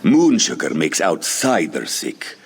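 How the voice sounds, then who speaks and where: Extremely deep, haughty cat-man. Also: huge pitch swings, slow pace, a little hiss or hum, heavily acted. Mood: scornful. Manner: haughty, dry, refers to himself in third person. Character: disdainful male cat-person bard, weary of fools. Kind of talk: scripted fantasy game dialogue.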